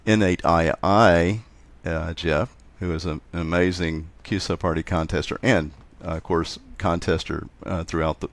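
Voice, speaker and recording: A man chatting casually as an interview guest, halting often and repeating words as he goes. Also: deep voice, steady tone. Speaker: interview guest, contest organizer.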